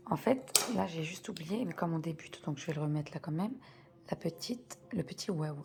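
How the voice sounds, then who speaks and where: A woman's voice: sits low, lively intonation, moderate pace, creaky, noisy background. A woman teaching a beginners' reading lesson, patiently spelling out sounds step by step and repeating key words. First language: French.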